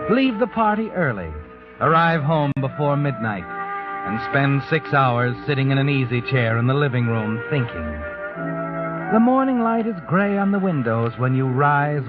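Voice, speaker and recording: Ominous, insinuating radio suspense narrator, loud music. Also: male voice, mid-range voice. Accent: American. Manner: insinuating, dramatic, pointed rhetorical questions. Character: ominous taunting narrator addressing the protagonist directly. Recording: dull vintage radio recording, loud music. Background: loud dramatic music.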